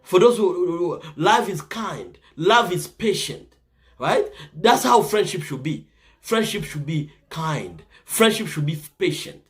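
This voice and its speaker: A man's voice: high-pitched, expressive, a little slow. A man from the Congo with a Congolese accent, giving earnest casual advice to viewers and often checking in with "right?". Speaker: vlogger giving life advice.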